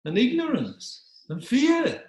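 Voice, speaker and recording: Man acting as righteous storybook dog, courteous, deliberate, admonishing, raised voice, echoey room. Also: very high voice, wildly swooping pitch, pitched above the performer's own voice, full character voice. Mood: solemn reproach. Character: wise, bold, righteous dog addressing a king.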